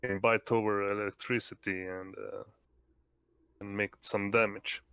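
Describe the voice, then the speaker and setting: A man's voice: on the low side, flat delivery, measured pace, a bit bright, smooth, no room sound. A man playing a tabletop game, talking casually and searching hesitantly for his words.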